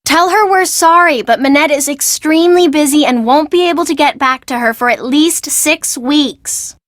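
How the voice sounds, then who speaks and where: A woman's voice: sits high, moderate pace, no room sound, studio quality, close to an everyday voice. A woman voicing a curt, dismissive young woman in a video game. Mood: impatient. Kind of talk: video game character acting.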